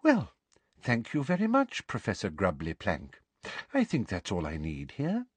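A prim, sugar-sweet school inspector who murmurs her threats softly, as a man puts her on. Her high, lilting voice rises and falls, with a husky edge. It is recorded close and dry, like a storybook reading. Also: wildly swooping pitch, unhurried, dry recording, heavily acted. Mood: silkily cold. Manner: sweet, soft and menacing.

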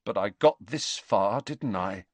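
A man voicing a frightened teenage boy wizard in an audiobook, hesitant and breathy yet defiant. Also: medium pitch, animated, slow pace, no room sound, pitched above the performer's own voice. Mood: shaky defiance.

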